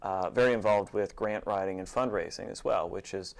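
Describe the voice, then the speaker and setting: A man being interviewed as an executive director, answering conversationally in a measured, matter-of-fact way. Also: low-pitched. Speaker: executive director of a nonprofit theater, interview guest.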